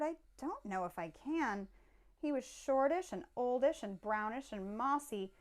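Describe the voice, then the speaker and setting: A woman reading a children's storybook aloud expressively, with dramatic pauses and emphatic phrasing. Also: high voice.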